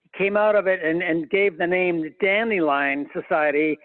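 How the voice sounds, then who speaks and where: A man with a high voice speaks conversationally to fellow panelists. The sound is dry and narrow, like a phone line, as he prompts others on an online call. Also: normal speed, no echo.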